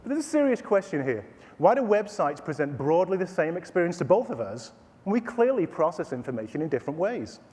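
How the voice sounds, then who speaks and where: A man in his forties with a Texan accent, pitching a product from a conference stage with a lively, sing-song voice that rises and falls a lot. He sounds a little high for a man, and his voice rings out through a large hall with a long echo. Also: fairly quick. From Texas, USA. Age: 40s. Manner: animated, showman-like pitch with playful asides. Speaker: company CEO presenting a product. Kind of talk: staged product demo presentation.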